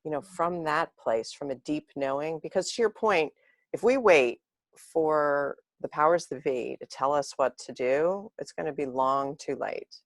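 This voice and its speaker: Low-voiced woman, dry close sound. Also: unhurried, no echo. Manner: earnest, reflective, speaks in long flowing thoughts. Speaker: interview guest. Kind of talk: reflective conversation.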